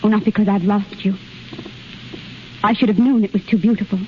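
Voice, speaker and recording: A woman's voice: medium pitch, steady tone, fairly quick, natural voice. An actress in a 1930s radio drama playing a fragile, self-sacrificing young woman, speaking in an earnest, plaintive, melodramatic way. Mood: heartbroken. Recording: dull, hissy 1930s radio recording.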